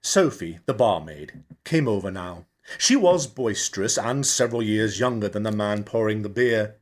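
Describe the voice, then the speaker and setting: A man reading fiction aloud as a storyteller, with dramatic, deliberate and expressive audiobook delivery. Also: fairly low pitch. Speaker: actor reading a novel chapter. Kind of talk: dramatic scripted audiobook narration.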